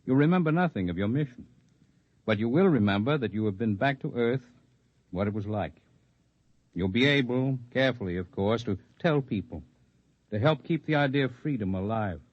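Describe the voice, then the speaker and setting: An actor playing a composed, articulate leader of an underground freedom movement in 1950s radio drama style, speaking in measured, formal, persuasive speeches. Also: male voice, medium pitch, lively intonation, no room sound, natural voice. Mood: calm, instructive. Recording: dull lo-fi vintage radio recording.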